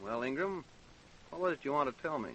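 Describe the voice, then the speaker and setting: An actor with an American accent playing a blunt, dogged sheriff who questions suspects curtly in an old-time radio crime drama. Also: male voice, on the high side, animated, fairly quick, a bit bright, a little hiss or hum. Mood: brisk, patient. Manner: curt, pressing questioner. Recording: dull, lo-fi old radio recording.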